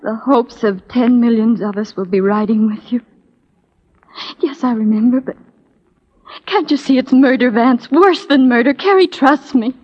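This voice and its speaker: A woman's voice: fairly high pitch, normal speed, close to an everyday voice. A woman playing an earnest, torn young spy in a 1940s radio drama, in an intense, melodramatic manner. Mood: anguished pleading. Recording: muffled 1940s radio recording.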